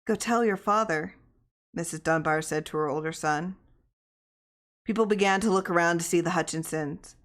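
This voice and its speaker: A woman's voice: low voice, fairly even intonation, moderate pace. A woman narrating a short story from a script as a reader, with character dialogue set among the prose.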